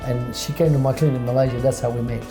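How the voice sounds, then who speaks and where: An older man from Australia, halting at first, telling how he met his wife in a studio interview. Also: medium pitch, steady tone, music in the background. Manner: halting, stumbles on words. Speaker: interview guest. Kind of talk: casual interview. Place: TV studio, indoors.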